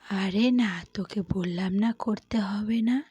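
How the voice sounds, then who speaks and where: Woman playing a mellow, fussing cartoon mother. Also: medium pitch, steady tone, a little slow, breathy edge, no room sound. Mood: fondly dismissive. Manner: motherly, gently bossy. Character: caring, firm, fussing mother of a little girl.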